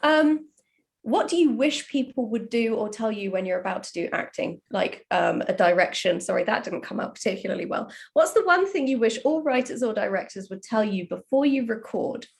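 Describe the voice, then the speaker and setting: Woman, medium pitch, casual podcast chat, wry, conversational. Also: lively intonation, normal speed, vocal fry. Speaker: writer and podcast co-host.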